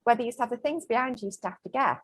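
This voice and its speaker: A woman's voice: fairly high pitch, very fast, slightly rough. Frank, chatty woman business owner. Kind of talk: casual interview chat.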